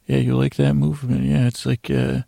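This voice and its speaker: Gravelly, mellow man rambling at bedtime. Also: medium pitch, level tone, no room sound, clean studio sound. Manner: meandering, halting, repeats and trails off mid-thought. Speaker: sleep podcast host.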